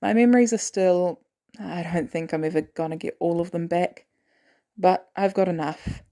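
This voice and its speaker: A woman acts out a haunted former assassin in an audio story. He is weary and terse, with a dark, soft-edged tone, hesitant and dryly protective. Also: lowish voice, normal speed, natural voice. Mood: pained, weary. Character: weary, guilt-ridden male ex-assassin, gruff but protective.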